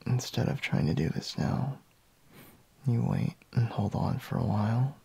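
A sleepy boyfriend, acted by a man in an ASMR roleplay, murmuring slowly and half-whispering. His voice is very husky and crackles with heavy vocal fry. He sounds reluctant yet teasing, as if barely awake. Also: mid-range voice, expressive, dark tone, no room sound, full character voice. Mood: drowsy, reluctant. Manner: slow, drowsy murmur with sighs and pauses.

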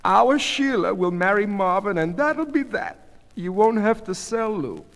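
A man voicing an anxious, excitable older wife in a story, fretting and pleading in exclamations. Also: high-pitched, measured pace, vocal fry, pitched above the performer's own voice, full character voice. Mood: fussing, soothing. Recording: long hall reverb.

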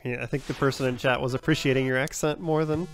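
Fast-talking man co-commentating. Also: medium pitch, fairly even intonation, faint music behind. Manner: quick, chatty, asks questions. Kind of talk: casual live commentary.

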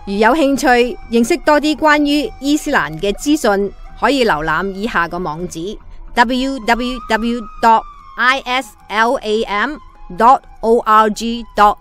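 A woman's voice: on the high side, a little slow, a bit bright. Woman announcing radio drama credits over music. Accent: Hong Kong Cantonese.

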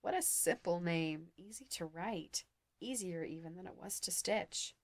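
A woman voicing a cruel, gloating male sorcerer in a slow, silky, menacing manner. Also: fairly low pitch, no echo.

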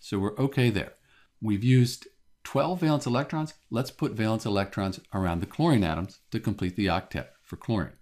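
A man teaching chemistry in a calm, methodical voice-over, explaining step by step. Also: lowish voice, moderate pace. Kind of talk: scripted lesson voice-over. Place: voice-over over a drawing screen.

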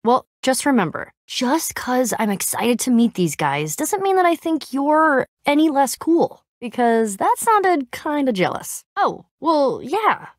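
A woman voicing a brash, excitable young female adventurer in a video game, slangy and a bit awkward as she tries to sound cool. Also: high-pitched, no echo, clean studio sound. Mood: casual, earnest. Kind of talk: animated game dialogue acting.

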